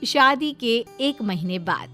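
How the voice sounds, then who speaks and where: Woman storyteller narrating a cartoon tale, music softly behind. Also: on the high side, lively intonation, takes it slowly.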